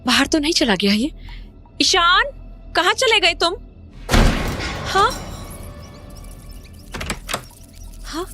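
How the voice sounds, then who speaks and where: A woman acts as an anxious mother in a horror audio drama. Her high voice is urgent and fearful, pressing for answers, with soft music behind her. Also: very animated, takes it slowly, exaggerated voice. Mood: worried, calling. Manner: urgent, agitated. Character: anxious, fearful mother haunted by lost brother.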